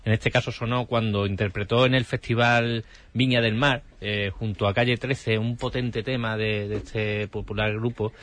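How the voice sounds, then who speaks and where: A man with a Spain Spanish accent presenting music on the radio in casual, halting chat, often repeating words. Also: fairly low pitch, steady tone, a bit bright.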